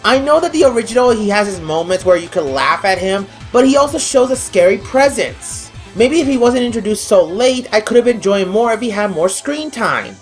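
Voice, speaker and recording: A woman's voice: medium pitch, expressive, fairly quick, hardly any pauses. Exasperated woman reviewer over music. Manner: blunt, exasperated, freely swearing. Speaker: online anime reviewer. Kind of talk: scripted video review commentary.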